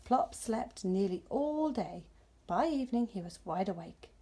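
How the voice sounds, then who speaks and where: A woman gently and expressively narrating a children's story as its reader. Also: medium pitch, expressive, a little slow, a little hiss or hum.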